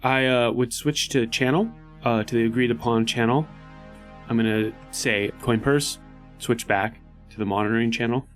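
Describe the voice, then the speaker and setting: A man talks casually as a player in a tabletop roleplaying game, thinking aloud in an average-pitched voice. Music plays behind him. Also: steady tone, moderate pace. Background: background music.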